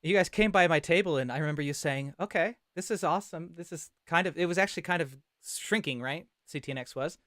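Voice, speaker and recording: A man with a slightly high voice and a dry, close sound hosts a casual livecast. He speaks with enthusiasm and readily affirms what his guests say. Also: fast, few pauses, fairly bright tone, no echo. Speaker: host and interviewer. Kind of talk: casual livecast interview chat.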